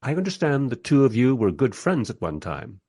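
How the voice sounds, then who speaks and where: Male actor as wry documentary maker, husky, animated, expressive first-person storyteller, close dry sound, radio drama. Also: medium pitch, moderate pace, dry recording. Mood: observant, wry. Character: wry, enthusiastic documentary maker narrating his historical encounters. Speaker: narrator.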